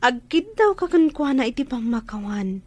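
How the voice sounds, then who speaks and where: High voice, woman playing younger sister, earnest, pleading, radio drama delivery. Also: big pitch swings, normal speed, a little mellow, no echo. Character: younger sister earnestly counseling her hospitalized brother. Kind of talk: Ilocano radio drama acting.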